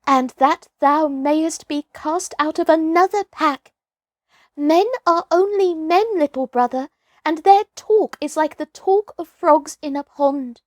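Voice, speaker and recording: Woman voicing an earnest, high-pitched loyal young wolf. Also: slow, no echo, studio quality. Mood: anxious warning. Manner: archaic, earnest phrasing. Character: eldest wolf cub brother, gruff-tender, anxious, loyal.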